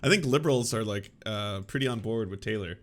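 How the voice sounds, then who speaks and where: A man's voice: lowish voice, fairly quick, a bit bright. Sarcastic man streaming political commentary. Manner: sarcastic, mocking, rambling with fillers. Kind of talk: casual live-stream commentary reacting to clips.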